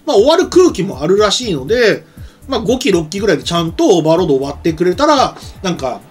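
Very fast-talking, animated middle-aged man. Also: high voice, soft background music. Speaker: talk show co-host, anime writer. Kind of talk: casual chat commentary on anime. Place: small indoor streaming studio. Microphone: microphone on desk stand.

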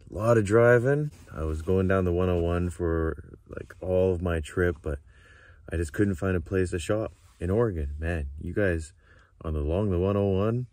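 A man in his thirties talking casually to a handheld camera about his travels, chatty and relaxed, full of fillers. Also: low-pitched, moderate pace, no echo. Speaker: travel vlogger. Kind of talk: casual vlog talk to camera. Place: inside an SUV and outdoors by a coastal beach. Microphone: handheld phone or camera at arm's length.

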